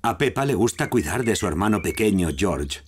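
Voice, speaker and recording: A man's voice: fairly low pitch, lively intonation, brisk. A man narrating a scripted children's cartoon with a Castilian Spanish accent.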